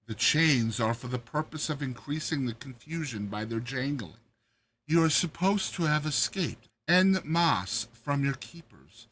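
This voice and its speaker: A man voicing a crippled dwarf court jester, a cunning schemer who speaks in a measured, coaxing way in a dramatized story reading. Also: on the high side, animated, fairly bright tone, a little hiss or hum. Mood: persuasive, scheming.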